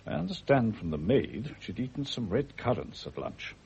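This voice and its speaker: An actor in a radio drama playing a grave, world-weary family doctor, measured, formal and authoritative. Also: male voice, mid-range voice, big pitch swings, gravelly, a little mellow, breathy edge, heavily acted. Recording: muffled, noisy old radio recording.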